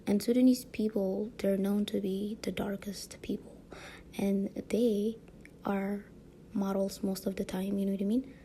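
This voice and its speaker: Woman vlogger, light French accent, gravelly dark voice, rambling casual talk, faint room hiss. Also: mid-range voice, flat delivery, unhurried. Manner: rambling, many fillers and tag questions; frequent soft laughs. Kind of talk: casual monologue to camera.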